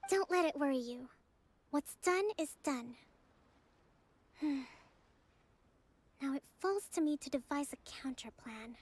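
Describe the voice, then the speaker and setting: A woman voicing a calm, thoughtful young priestess and strategist in a video game, speaking in a measured, careful way. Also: sits high. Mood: grave. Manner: measured, soft-spoken, careful. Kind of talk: game voice acting.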